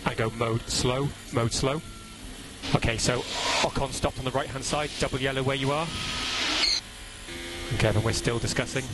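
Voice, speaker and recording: A man's voice: medium pitch, fairly even intonation, moderate pace, very noisy. A man working as a race engineer on team radio, giving clipped, repeated instructions and updates and urging his driver on.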